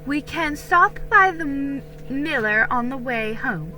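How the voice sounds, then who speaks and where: A woman voicing a kindly, brisk colonial mother, cheerful and politely old-fashioned, in a storybook reading. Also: high-pitched, animated, bright, forceful tone, soft background music, pitched above the performer's own voice, heavily acted. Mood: warm, cheerful.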